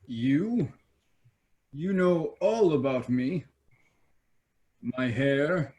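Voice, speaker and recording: A man's voice: on the high side, expressive, very slow, a little mellow. A man playing a vain, romantic young prince with a grand manner in a children's play reading. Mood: vain wonder.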